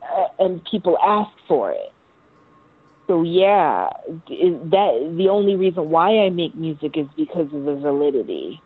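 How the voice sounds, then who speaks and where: American woman, 30, low voice, effusive slangy interviewee on a narrow phone-like line. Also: sing-song, unhurried, slightly rough, no room sound. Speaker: interview guest, musician. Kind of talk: casual chat.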